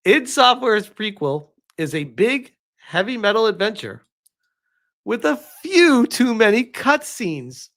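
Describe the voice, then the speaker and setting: A man giving casual livestream commentary on gaming news as a streamer, informal and incredulous, with halting, emphatic phrasing and rhetorical questions. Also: high-pitched, very animated, fairly bright tone.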